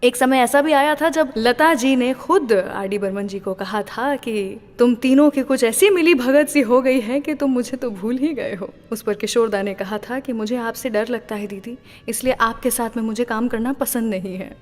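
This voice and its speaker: A woman's voice: fairly high pitch, moderate pace, hardly any pauses. A woman hosting a classic-songs radio show, chatting through anecdotes between songs and reciting a short couplet. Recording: long reverb with music underneath.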